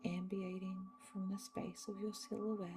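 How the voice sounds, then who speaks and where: A woman leading a guided meditation in a soft, soothing style, speaking in short, separated phrases with long pauses. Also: medium pitch, level tone. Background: meditation music with singing bowls.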